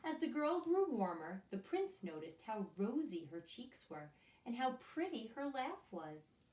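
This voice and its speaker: A woman's voice: a little high, very animated, unhurried, darkish tone. A woman reading a picture book aloud to young children, expressively, often turning to them with questions and asides. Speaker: children's storyteller and teacher. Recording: echoey room, distant microphone.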